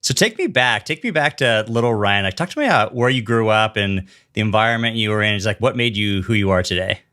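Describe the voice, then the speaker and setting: A male podcast host speaks in a conversational interviewing style. His voice sits a little low but comes across bright and forceful. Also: lively intonation, quick pace.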